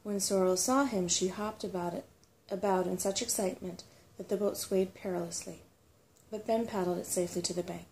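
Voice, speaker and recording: A woman calmly reading a storybook aloud as unhurried ASMR narration. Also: medium pitch, slight background noise, rough recording. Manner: calm, unhurried.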